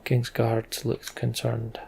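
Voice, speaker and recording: A man's voice: medium pitch, completely flat delivery, slow pace, vocal fry, darkish tone, a little hiss or hum. A man narrating a fantasy game's text in storybook style as a let's player.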